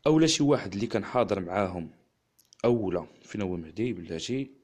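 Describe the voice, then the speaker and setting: A man with a Moroccan accent hosting a live stream, chatting quickly with viewers and a guest. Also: fairly low pitch, animated, a little mellow. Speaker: livestream host. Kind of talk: casual live chat and storytelling.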